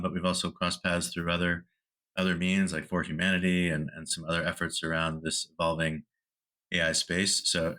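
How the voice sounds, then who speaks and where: Bright-voiced, low-pitched male podcast host. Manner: loose and rambling, many fillers and restarts. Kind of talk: conversational podcast interview.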